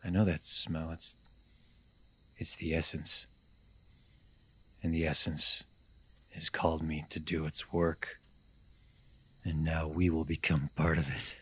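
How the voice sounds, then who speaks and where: A man playing a halting, chuckling rival tow driver who turns creepy, in an amateur radio mystery. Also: very deep voice, level tone, pushed and bright, breathy edge, exaggerated voice. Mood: eerie, entranced. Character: slow-talking rival tow driver Frank, turning creepily entranced.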